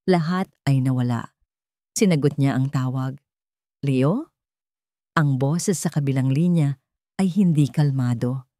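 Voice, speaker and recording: A woman's voice: rumbling low, normal speed, warm tone, no room sound, studio quality. A woman reading scripted dramatic fiction as a story narrator.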